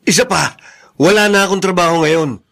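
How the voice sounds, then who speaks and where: A man's voice: high voice, brisk, creaky, fairly bright tone. An actor playing a selfish, defensive middle-aged father in a Filipino radio drama, curt and irritable. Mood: irritated, defensive. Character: selfish, defensive father shirking his grown children.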